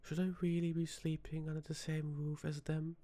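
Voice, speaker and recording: A man playing a flirty, charming vampire bartender in a soft-spoken ASMR roleplay, teasing and seductive in an unhurried way. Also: fairly high pitch, completely flat delivery, close to an everyday voice. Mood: flirty, coaxing.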